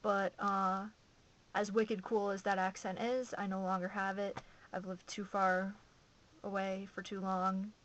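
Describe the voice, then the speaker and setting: A woman's voice: mid-range voice, flat delivery, unhurried, fairly bright tone, slight background noise. A young woman from Massachusetts chatting casually on her home video blog, gushing and rambling with enthusiasm, recorded on a camera mic. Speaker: vlogger.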